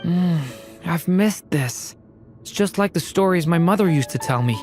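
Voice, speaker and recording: A woman voicing a gentle, wistful young dragon boy in a video game, earnest and hesitant. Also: lowish voice, animated, normal speed, soft background music, close to an everyday voice.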